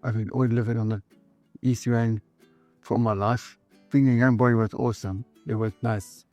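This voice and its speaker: South African man, mellow easygoing voice. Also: medium pitch, level tone, moderate pace, dry recording, faint music behind. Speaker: interviewee. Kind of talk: documentary interview.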